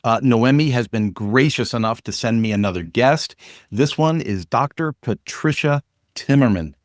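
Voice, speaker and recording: A man's voice: medium pitch, expressive, moderate pace, no room sound, studio quality. A man hosting a podcast, upbeat and chatty, joking casually with his guest. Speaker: podcast host. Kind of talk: casual interview.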